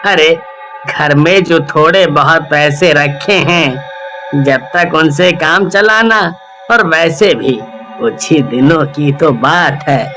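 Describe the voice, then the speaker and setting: A man playing a poor, weary village husband in a cartoon story, with drawn-out, emphatic delivery. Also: on the high side, a bit bright. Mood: dismissive, reassuring. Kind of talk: Hindi cartoon story voice acting.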